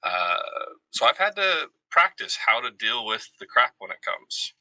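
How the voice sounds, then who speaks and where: Very bright, forceful man talking candidly. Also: mid-range voice, very animated, unhurried, dry recording, low-quality recording. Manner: reflective, self-revealing. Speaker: guest, retired army veteran. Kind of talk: candid casual personal talk.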